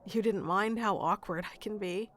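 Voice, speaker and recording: A woman's voice: medium pitch, moderate pace, fairly bright tone, natural voice. A woman playing a shy, sweet, lovestruck florist in a romantic ASMR roleplay, speaking hesitantly with nervous stammers. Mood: tender, wistful.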